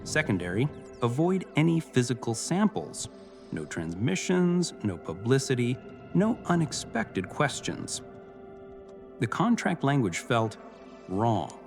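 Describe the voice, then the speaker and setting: A man reading scripted cinematic science fiction narration in a measured, serious storytelling manner. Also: medium pitch, very animated. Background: music bed.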